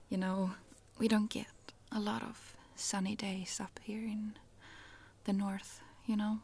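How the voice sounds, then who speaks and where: A woman's voice: medium pitch, flat delivery, slow, long pauses, breathy edge. Woman doing Ruby, a whispering Swedish traveler. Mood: friendly. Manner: soft whispering, candid, giggly. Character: friendly chatty young Swedish woman traveler. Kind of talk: whispered ASMR roleplay.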